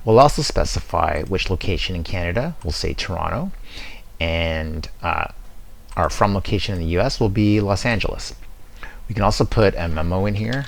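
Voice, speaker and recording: A man presenting a software tutorial, walking through a screen demo in a casual, conversational and explanatory way. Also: low voice, creaky.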